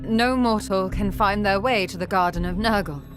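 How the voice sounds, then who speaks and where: Lady voicing a composed sneaky inquisitor, careful halting speech, music behind, video game scene. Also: medium pitch, close to an everyday voice. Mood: calm, strained. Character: composed, cunning woman inquisitor held as a traitor. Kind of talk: video game cinematic acting.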